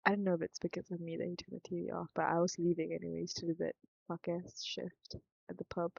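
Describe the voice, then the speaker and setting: Gravelly low-voiced young Singaporean woman. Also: completely flat delivery, fast talker, a little mellow, dry recording, muffled recording. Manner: meandering, thinks aloud, self-interrupting, frank. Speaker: vlogger. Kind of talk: rambling casual vlog monologue.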